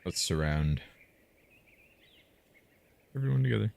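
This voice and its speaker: A man's voice: lowish voice, lively intonation, unhurried, gravelly, a little mellow, a little hiss or hum. A man co-hosting a live stream, chatting casually and reading an interactive story aloud.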